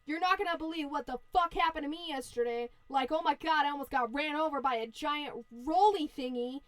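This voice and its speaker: A woman's voice: high-pitched, brisk, no room sound, close to an everyday voice. A woman voicing a foul-mouthed, hungry male wolf from a fairy tale, talking in crude bro slang. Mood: shaken, breathless excitement.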